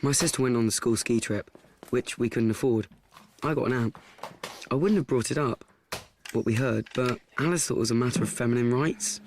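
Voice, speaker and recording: A man playing an awkward, self-absorbed teenage boy in a radio play, speaking in a halting, confessional way. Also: mid-range voice, a little hiss or hum, close to an everyday voice. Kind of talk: first-person radio play monologue and dialogue.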